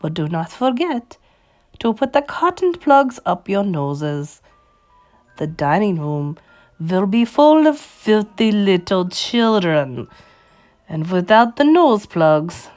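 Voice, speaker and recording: An imperious witch queen from a children's storybook. She screeches her orders in stilted, formal phrases with venomous scorn. Her pitch leaps about and she draws her words out slowly. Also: darkish tone. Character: imperious, venomous female Grand High Witch, child-hating leader.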